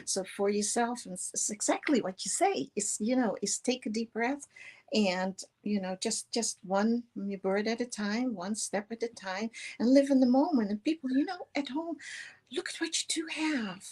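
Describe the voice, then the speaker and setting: A woman's voice: on the high side, normal speed, darkish tone, breathy edge. A woman from the Netherlands with a Dutch accent, giving earnest, rambling spiritual encouragement and repeating words for emphasis. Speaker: show co-host. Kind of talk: conversational spiritual encouragement to viewers.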